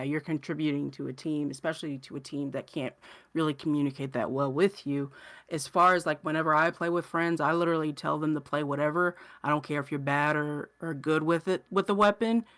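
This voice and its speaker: A podcast co-host chatting casually about gaming, with informal, somewhat halting phrasing. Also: mid-range voice, steady tone, fairly bright tone.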